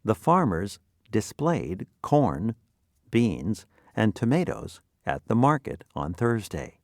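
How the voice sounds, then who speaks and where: A man narrating a scripted vocabulary lesson in deliberate, clearly separated phrases. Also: on the low side, big pitch swings, no room sound, studio quality.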